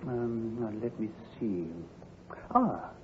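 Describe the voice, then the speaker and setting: An obliging country doctor, very husky and breathy, with lively rises and falls. He speaks in a polite, formal radio drama manner. The old recording sounds thin and muffled. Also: medium pitch, slow pace, full character voice. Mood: helpful, musing.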